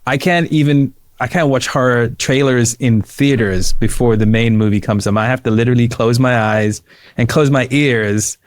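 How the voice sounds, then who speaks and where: A man's voice: medium pitch, moderate pace, no echo, studio quality. A man in his early fifties from Sri Lanka talking casually as a podcast guest. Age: early 50s. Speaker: interview guest, founder. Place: living room at home, indoors. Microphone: close desk microphone on a video call.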